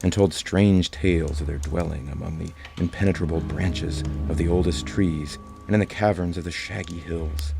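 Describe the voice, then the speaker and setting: A man narrating a classic tale in a dramatic storybook style, with a gravelly voice. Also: low voice. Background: music.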